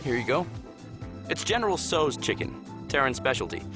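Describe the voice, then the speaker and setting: A man's voice: medium pitch, sing-song, moderate pace, close to an everyday voice. An actor playing a friendly, easygoing human spaceship crewman in a visual novel game, casual and amiable. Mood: warm, casual. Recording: music clearly playing behind.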